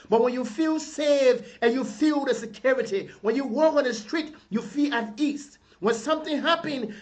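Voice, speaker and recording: A man from Liberia giving an impassioned video address to his compatriots, preaching in repeated rhetorical appeals. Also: high-pitched. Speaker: political advocate addressing compatriots.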